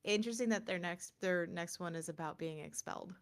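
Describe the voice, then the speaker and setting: A woman with a slightly low voice co-hosts a gaming news show. She is chatty and informal, wandering through her explanations. At times she shifts into reading prepared copy before returning to casual talk. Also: fairly quick. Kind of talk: casual chat with some scripted reading.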